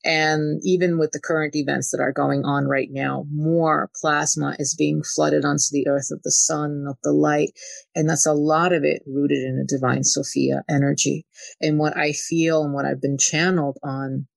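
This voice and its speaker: A woman's voice: low voice, little pitch movement, normal speed, vocal fry, dry recording. A woman from Ukraine talking as a spiritual channeler and interview guest, often dropping in "you know" as she goes. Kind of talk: spiritual conversation.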